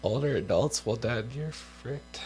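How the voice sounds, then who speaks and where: A man's voice: mid-range voice, lively intonation, a little slow, a little mellow, slight background noise. A young man from the United States on a casual co-hosted show, reading health guidelines aloud with offhand joking asides, and he is losing his voice. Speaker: co-host.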